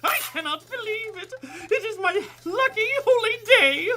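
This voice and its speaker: A woman's voice: extremely high pitch, lively intonation, moderate pace, bright, forceful tone, heavily acted. A woman playing a giddy, scheming male mad scientist in a comic radio play, with theatrical, stilted formal speech and a cloying, fiendish manner. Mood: gleeful.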